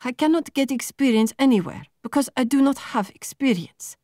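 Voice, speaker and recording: Young woman, frustrated job-seeker in a novel, higher voice, blunt phrasing, played by a female narrator. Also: animated, warm tone, dry recording, clean studio sound. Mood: angry, pleading. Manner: blunt, halting non-native phrasing.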